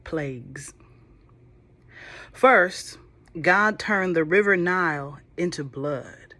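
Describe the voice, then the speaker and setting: A woman reading a children's Bible storybook aloud, with gentle, chatty, affectionate asides to the listening kids. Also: on the low side, drawn-out words.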